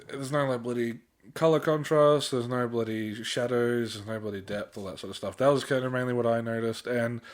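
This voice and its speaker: A young man co-hosting a podcast, talking casually and candidly with slang and self-corrections. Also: mid-range voice. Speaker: podcast co-host. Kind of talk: casual film discussion.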